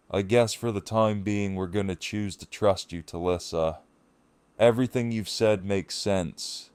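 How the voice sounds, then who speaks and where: A grim leader of survivors , acted by a man for an audio drama. His voice sits very low and he talks slowly, weighing his words with wary intensity. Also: a little mellow, smooth, dry recording. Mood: reluctant, firm.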